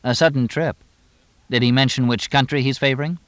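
A man's voice: mid-range voice, steady tone, brisk, a bit bright, dry recording, voice pushed up, natural voice. A man voicing a wry, teasing horse owner in audiobook dialogue, dry and inquisitive as he banters. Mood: probing, teasing.

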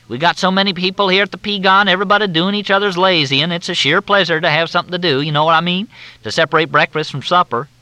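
A man's voice: sits high, fairly even intonation, quick pace, bright, forceful tone, no echo, voice pushed up, low-quality recording. A man voicing a courteous Southern army major with a thick drawl that makes everything sound like a question. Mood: folksy, chatty.

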